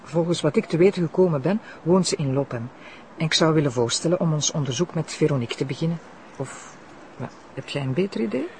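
A woman's voice: low-pitched, lively intonation, quick pace, a little mellow. Female prosecutor, Flemish accent, eager, courteous, naturalistic audio drama, light noise behind. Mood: businesslike. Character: eager, polite, determined female deputy prosecutor. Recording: faint background noise.

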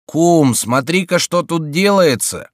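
A man voicing a nervous male townsman who calls out in loud, drawn-out alarm. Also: on the high side, expressive, dry recording, clean studio sound, pitched above the performer's own voice.

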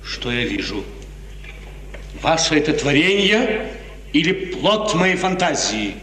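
Impulsive haughty prince, high forceful voice, exclamatory radio play delivery, echoey hall. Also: male voice, very animated, takes it slowly, a little hiss or hum, full character voice. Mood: enraptured. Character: imperious, lovestruck prince, moody and impulsive. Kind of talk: 1950s Russian theatrical radio drama acting. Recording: echoey hall, distant stage microphone.

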